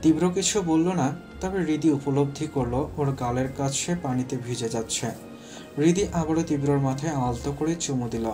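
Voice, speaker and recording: A man's voice: a little high, moderate pace, over music. A man narrating a scripted romantic story as its storyteller.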